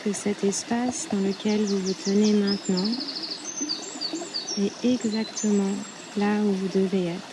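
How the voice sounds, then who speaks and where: A woman leading a guided yoga nidra meditation in a soothing, unhurried way, with short phrases and long pauses. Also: mid-range voice, little pitch movement, noisy background. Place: outdoors at a riverbank. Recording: outdoor recording with loud running river water. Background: flowing, trickling stream water.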